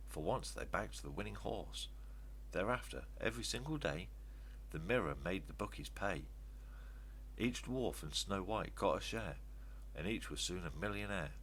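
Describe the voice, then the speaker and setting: An older man reads rhyming verse aloud as children's storytelling, in an expressive, emphatic way. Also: sits low. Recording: steady background noise with hum.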